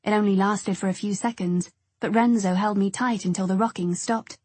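A woman's voice: medium pitch, steady tone, normal speed, a little mellow, slightly rough, dry recording. A woman reading scripted first-person narration from a novel as an audiobook narrator.

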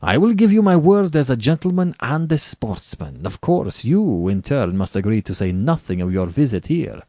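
A man's voice: medium pitch, very animated, normal speed, dark tone, no room sound, clean studio sound, voice pushed up. A man voicing a suave, falsely courteous aristocratic general and cruel hunter in a storybook, with a courtly, condescending, theatrical manner. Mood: smoothly assuring. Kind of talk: dramatic storybook reading.

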